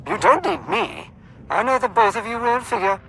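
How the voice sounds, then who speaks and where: An actor playing a harried, formal military colonel over a comm in a video game, strained, with music behind. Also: male voice, high voice, lively intonation, normal speed, gravelly, bright, forceful tone, heavily acted. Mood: strained, resigned. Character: harried male Imperial colonel giving orders by comm. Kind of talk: video game cutscene acting.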